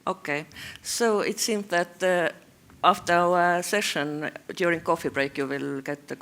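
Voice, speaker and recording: A woman's voice: fairly low pitch, steady tone, a little slow, fairly bright tone. A woman from Estonia chairing a conference session, speaking in brief, procedural remarks.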